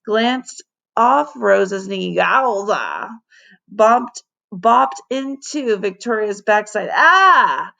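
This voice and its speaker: A woman narrates a children's storybook with a noticeably creaky voice and an unhurried pace. She reads like a teacher to a class, animated and leaning dramatically on key words. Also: on the high side. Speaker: teacher reading aloud to children.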